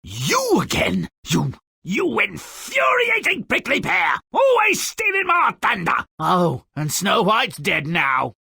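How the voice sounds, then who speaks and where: A man voicing a sardonic, grotesque little dwarf storyteller in a video game, gleefully malicious and melodramatic, with a raspy, gravelly voice and a sneering, mocking delivery. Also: high voice, huge pitch swings, slow, sharp tone, no room sound, clean studio sound, heavily acted. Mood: furious. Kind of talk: theatrical video game character narration.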